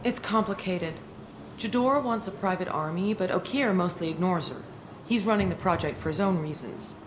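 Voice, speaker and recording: A wry, slightly nervous female scientist in a sci-fi game, played by a woman. Her voice sits a little low and stays conversational, with noisy ambience behind it. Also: expressive, moderate pace, lightly acted. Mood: explaining. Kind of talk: video game acting.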